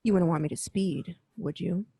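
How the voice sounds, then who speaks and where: A woman's voice: on the low side, normal speed, creaky, warm tone, husky, dry recording. A woman voicing Batgirl, a prim, rule-abiding young crime-fighter, in an amateur radio play.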